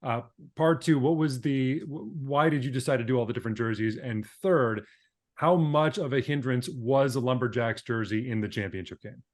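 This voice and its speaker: A man with a medium-pitched voice. He hosts a casual interview, putting things together haltingly, piece by piece. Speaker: podcast host, interviewer.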